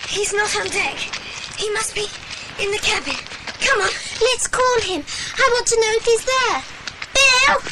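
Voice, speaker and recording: A woman with a British accent playing an eager, anxious child in an adventure audio drama, excitable and earnest. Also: very high-pitched, unhurried, heavy background noise. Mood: anxious calling. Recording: loud background sound effects.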